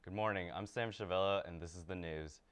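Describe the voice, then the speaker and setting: A man's voice: sits low, a little fast, a bit bright, clean tone. A young man presenting from a small TV studio, delivering scripted lines in an earnest, rehearsed way. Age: young adult. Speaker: student presenter.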